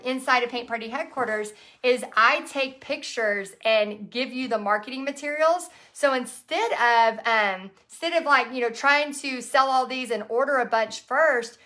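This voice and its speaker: A middle-aged woman with a hoarse, raspy voice, chatting casually on a live stream, folksy and full of fillers. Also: high-pitched, a bit bright. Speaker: painting instructor hosting a live stream. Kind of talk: casual live-stream chat, reading comments.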